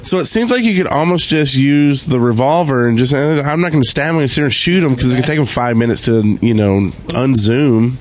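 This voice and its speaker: A man's voice: mid-range voice, brisk, hardly any pauses. A man hosting a podcast, chatting casually about games in front of a live convention audience. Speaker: podcast host.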